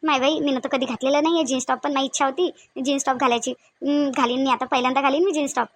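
A woman's voice: sits high, steady tone, very fast, natural voice. A woman voicing a shy, naive young newlywed wife in a cartoon, fretful and exclaiming, with a Varhadi Marathi accent from Vidarbha. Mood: curious. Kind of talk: cartoon dialogue acting in colloquial dialect.

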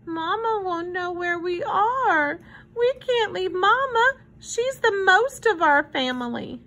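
A woman voicing anxious young children, earnest and pleading, in an expressive children's storybook reading. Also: extremely high pitch, slow, pitched above the performer's own voice, heavily acted. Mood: worried. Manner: plaintive, earnest.

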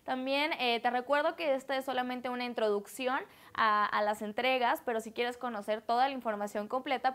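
High female voice, young adult, Mexican accent, news host, scripted explanatory delivery, addressing the viewer. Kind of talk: scripted presentation to camera. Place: indoor green-screen studio. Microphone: lapel mic.

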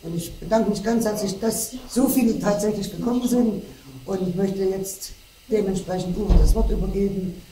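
A woman's voice: medium pitch, fairly even intonation, normal speed. Hesitant woman welcoming guests, echoey hall. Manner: hesitant, with fillers. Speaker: event organizer. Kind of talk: welcoming speech. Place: darkened hall before a projection screen. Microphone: far camera. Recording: echoey noisy hall from far off.

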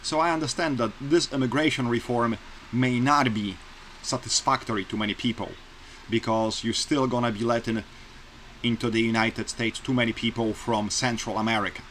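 A man from Poland speaks English with a Polish accent, earnest and plainspoken, pressing his points again and again. His voice sits at an ordinary male pitch. It comes through a webcam in a small bedroom with a faint hiss under it. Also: steady tone, moderate pace, fairly bright tone, poor audio. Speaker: vlogger. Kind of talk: casual opinion vlog to camera. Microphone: webcam close-up.

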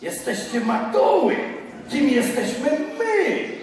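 A sly, bossy forest gang boss, played by a man on stage, who sneers at his dim helpers. His voice is very high and swoops about, and the pace is slow. It sounds echoey, taken from far off in a hall. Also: sing-song, full character voice. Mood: mocking. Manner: bossy, mocking. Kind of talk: children's stage play.

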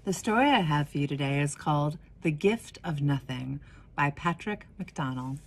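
Woman, forties, low voice, lively intonation, children's storyteller, deliberate dramatic pauses. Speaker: minister of religious education reading a picture book. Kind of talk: expressive children's story reading.

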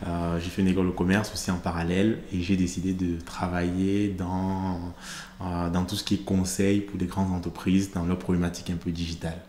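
A deep-voiced man in his thirties, originally from Cameroon, talks through his career in a steady, even tone. He pauses often to gather his thoughts, speaking into a stand mic. The studio sound is a bit roomy, with a faint hiss underneath. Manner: measured, thoughtful, many hesitations. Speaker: podcast host, interviewed guest. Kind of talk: conversational interview. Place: studio set with plants and glass-block wall.

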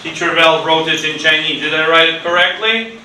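A middle-aged man teaches a children's class in a roomy, noisy classroom, heard from some distance. His voice is on the higher side, and he uses short, simple phrases and questions to involve the pupils. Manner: slow, deliberate, simple phrasing with repeated questions. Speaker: ESL teacher. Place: school classroom, indoors. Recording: roomy, distant classroom recording with clear noise.

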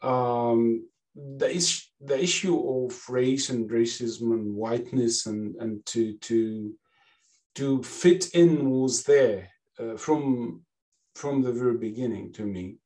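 A man's voice: mid-range voice, slow pace, mellow, far from the mic, low-quality recording. A man, speaking as a panelist in an unscripted talk. He hesitates a lot, restarting phrases and filling gaps with "you know", and speaks through a headset on a video call from a home office. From Egypt. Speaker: panelist, classics lecturer. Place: home office with bookshelves, indoors.

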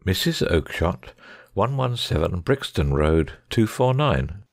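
A man playing a shrewd, unruffled Victorian gentleman detective in a dramatic audiobook. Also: low-pitched, big pitch swings, slow, gravelly, dry recording, studio quality, full character voice. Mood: matter-of-fact. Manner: careless, bland, unruffled.

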